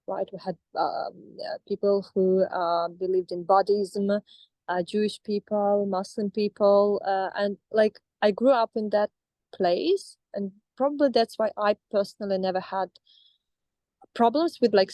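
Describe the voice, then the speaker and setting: A woman's voice: mid-range voice, measured pace, a little mellow, no room sound. A young woman with a Russian accent, talking over a video call as a coaching client, hesitant with many fillers and restarts. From Astrakhan, Russia. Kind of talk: casual reflective talk.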